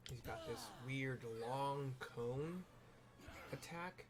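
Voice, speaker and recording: A man giving casual, explanatory game commentary, describing the scene in a halting way with trailing asides. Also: mid-range voice, music in the background. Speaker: gamer narrating a raid playthrough.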